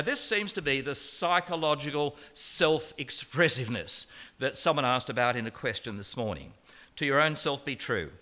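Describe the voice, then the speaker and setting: Animated male preacher with a bright voice. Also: a little high. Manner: rhetorical questions and repeated phrases for emphasis; wry, colloquial humor. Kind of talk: conversational sermon on a Bible passage.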